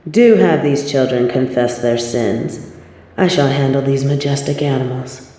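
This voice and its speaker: A woman's voice: rumbling low, unhurried, dark tone, very echoey. A woman voicing a haughty fallen angel in an audio drama, imperious and pompous with archaic formal diction, yet fond of her demon companion. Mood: haughty, commanding.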